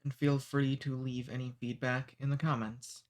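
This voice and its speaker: A man's voice: medium pitch, flat delivery, moderate pace. A man who makes ASMR audios, giving a friendly, informal intro for his channel. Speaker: ASMR creator.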